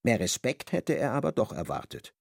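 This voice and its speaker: A male narrator reads literary prose with a lively, rising and falling delivery and a gravelly edge to his voice. The recording is close and dry, with no room sound. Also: mid-range voice, normal speed, dry recording. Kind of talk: scripted literary narration for radio drama.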